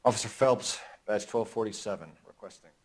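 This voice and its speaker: A man's voice: on the low side, a little fast, background noise, lightly acted. A man playing an earnest, by-the-book 1940s police officer in a game, formal and assertive. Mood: focused. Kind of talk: game cutscene acting.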